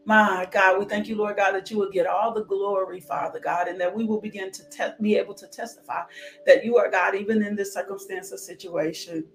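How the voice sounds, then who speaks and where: A woman's voice: steady tone, fairly quick, soft background music. Fervent woman preacher whooping. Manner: exclamatory, with sudden high whoops; repeats declarations, drops to hushed prayer. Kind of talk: fervent sermon with altar call and blessing.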